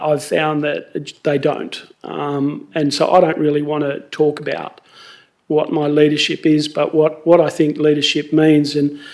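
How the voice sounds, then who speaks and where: A middle-aged Australian man, a councillor, giving a halting speech at a council meeting, full of fillers and restarts. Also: fairly high pitch, a little mellow. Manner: hesitant, many fillers and restarts.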